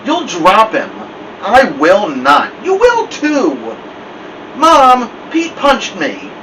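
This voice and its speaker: A man voicing excitable, squabbling young boys in storybook dialogue, loud, urgent and whiny. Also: high-pitched, drawn-out words, voice pushed up, exaggerated voice. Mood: squabbling, excited. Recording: muffled, distant, noisy room hum.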